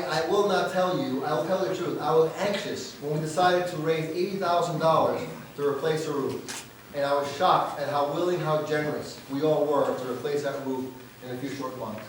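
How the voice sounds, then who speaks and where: Hoarse male presenter, emphatic and proud, slightly high voice, booming room sound. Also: a little hiss or hum. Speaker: organization leader presenting annual results. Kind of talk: annual meeting speech. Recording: very echoey hall, far from mic.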